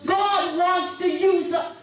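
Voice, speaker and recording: A middle-aged woman preaching a sermon at a women's convention, half-singing her lines and rising to shouts. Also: extremely high pitch, steady tone, slow, crowd noise. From Canada. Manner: impassioned preaching, half-sung, with shouted peaks. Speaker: guest speaker at women's convention. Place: church sanctuary. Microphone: handheld mic. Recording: echoey church sound from a distant camera.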